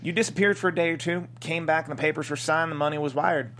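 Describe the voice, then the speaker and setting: A man's voice: on the high side, steady tone, quick pace, sharp tone, a little hiss or hum. A man playing a dry, sarcastic small-town desert lawman in a comedy script table read. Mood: dry scorn.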